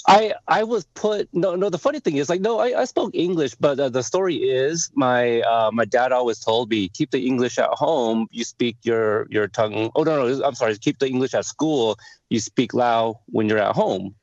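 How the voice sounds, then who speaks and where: A man hosting a podcast interview, whose first language was Lao, chatting in a friendly, animated way. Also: mid-range voice, moderate pace, no echo. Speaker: podcast host. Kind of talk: casual interview chat. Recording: dry, dull lo-fi sound.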